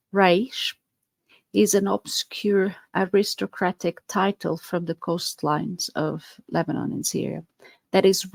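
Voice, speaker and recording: A woman with a Portuguese accent talks in an unhurried, earnest podcast monologue. Her low voice carries a gravelly fry. The sound is dry and close, with little room around it. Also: darkish tone, no echo. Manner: earnest, explanatory, with fillers and restarts. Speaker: podcast host.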